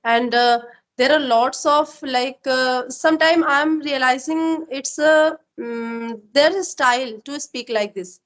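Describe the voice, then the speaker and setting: A woman's voice: sits high, slow pace. A woman hosting a spoken English practice conversation, agreeing often and talking with a few fillers. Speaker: host of English practice show.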